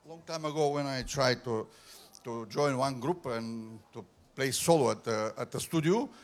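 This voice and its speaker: A Bulgarian saxophonist, a man, telling stories in careful, halting speech. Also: medium pitch.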